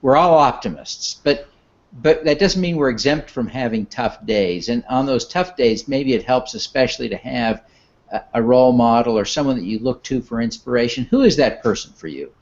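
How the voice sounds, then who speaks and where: A man hosting an interview, asking measured, friendly questions. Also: medium pitch. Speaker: interview host. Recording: dull, muffled sound.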